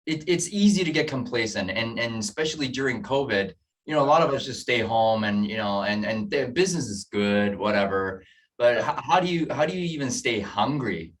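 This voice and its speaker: A man's voice: mid-range voice, expressive, normal speed. A male host asking questions in a casual interview, enthusiastic and often restarting his sentences. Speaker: podcast host. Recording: distant mic.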